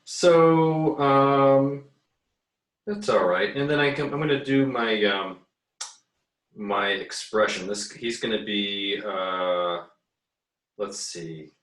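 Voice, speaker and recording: Deep voiced guy doing a casual art lesson, kinda hesitant thinking out loud, echoey room and a bit far from the mic. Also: slow, pauses often. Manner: easygoing, hesitant, thinking aloud while drawing. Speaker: art teacher giving an assignment demo. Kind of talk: casual screen-recorded drawing tutorial. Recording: roomy, echoey, distant mic.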